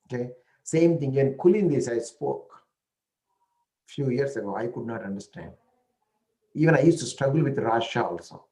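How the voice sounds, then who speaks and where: A man's voice: medium pitch, animated, moderate pace, long pauses, mellow. A man with an Indian accent who speaks an Indian language natively, telling stories in a casual talk and often checking in with "right?" and "you know".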